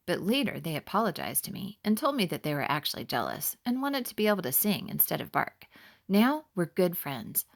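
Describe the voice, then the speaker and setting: A woman's voice: mid-range voice, vocal fry. A woman voicing a friendly, encouraging adult male robin in a children's storybook, upbeat and reassuring.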